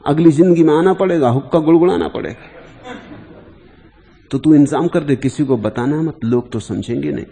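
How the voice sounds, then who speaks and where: Male mystic saint from a spoken parable acted by a man, earnest, coaxing, mellow timbre, heavy hall echo. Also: on the high side, moderate pace, vocal fry. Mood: confiding, earnest. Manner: confiding, coaxing, repeating himself. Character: male mystic saint, earnest, playfully confiding in himself and devotee. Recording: long hall reverb on speech.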